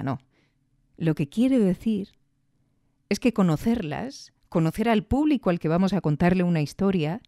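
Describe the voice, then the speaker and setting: A woman's voice: lowish voice, mellow, no echo, clean studio sound. A woman hosting a podcast, giving reflective commentary with rhetorical questions and emphatic repetition. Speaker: podcast host. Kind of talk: reflective commentary on audio fiction.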